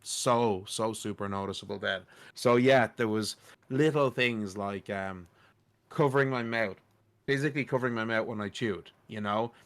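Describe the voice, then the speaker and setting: A man with a naturally loud, carrying voice, talking through editing habits in a relaxed roundtable. He rambles a little, adds self-deprecating asides and keeps the tone informal and conversational. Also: fairly low pitch, normal speed. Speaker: podcast host and editor. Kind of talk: casual roundtable chat.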